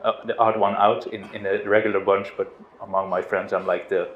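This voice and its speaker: A middle-aged man from the Netherlands speaks in a relaxed, hesitant way, restarting his sentences and laughing softly now and then. His voice sits on the low side. The sound is roomy and somewhat dull, with faint noise underneath. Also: fairly quick. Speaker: spiritual teacher. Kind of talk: informal satsang talk. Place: outdoors on a river boat.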